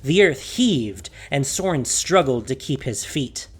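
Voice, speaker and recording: A woman's voice: very deep voice, animated, a little slow, breathy edge, soft background music. A woman narrator reading a scripted fantasy story in an intense, emphatic, theatrical style.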